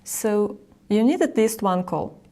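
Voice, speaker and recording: A woman presenting a software tutorial, explaining each step directly to the viewer as she narrates a screen recording. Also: mid-range voice, animated, slow.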